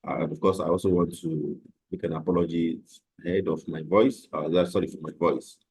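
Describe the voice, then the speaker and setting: A man teaching a live online trading class, slightly hoarse from a cold, explaining step by step with fillers over a computer microphone. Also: low-pitched, fairly even intonation, brisk, darkish tone. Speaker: trading instructor.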